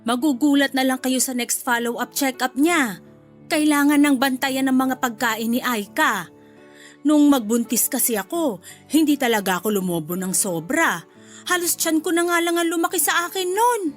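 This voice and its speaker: A woman's voice: sits high, moderate pace, soft background music, natural voice. A woman with a Filipino accent playing a chatty, blunt middle-aged mother-in-law in a radio drama. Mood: blunt, critical.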